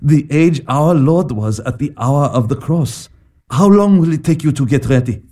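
An actor playing a grave, authoritative bishop who gives measured instructions in an audio drama, with music beneath. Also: male voice, on the high side, lively intonation, dark tone, no echo, clean studio sound.

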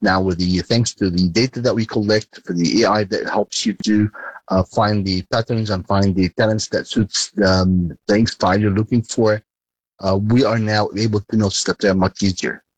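Male interview guest, low voice, hesitant, full of restarts. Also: fairly even intonation, no echo, poor audio. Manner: halting, many hesitations and restarts. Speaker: sports data company chairman, interview guest. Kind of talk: news interview answers.

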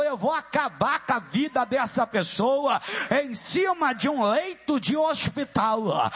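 A man with a Brazilian accent preaching a fervent deliverance sermon, shouting commands and repeating chants as he leads an exorcism. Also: very high-pitched, slightly rough. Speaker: evangelical preacher leading an exorcism. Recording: dull lo-fi recording.

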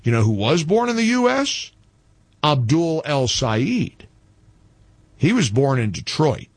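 A man's voice: mid-range voice, no room sound. A male talk radio host speaking combatively, often repeating phrases for emphasis.

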